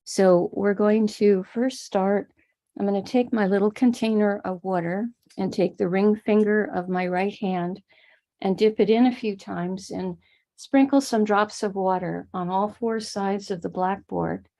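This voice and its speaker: A woman with an American accent, gently explaining and guiding a live online ritual as a spiritual teacher. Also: medium pitch, fairly even intonation, a little slow. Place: home room in Florida.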